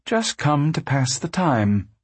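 A man's voice: fairly high pitch, big pitch swings, takes it slowly, husky, no echo, pitched above the performer's own voice, heavily acted. A man voicing a plain-spoken, protective farm mother in a storybook. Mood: plain, casual. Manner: folksy, firm.

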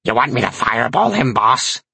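A man voicing a small, sly imp servant in an audiobook, raspy and servile, feigning innocence while needling his master. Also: mid-range voice, big pitch swings, normal speed, pushed and bright, no echo, exaggerated voice. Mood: eager, bloodthirsty.

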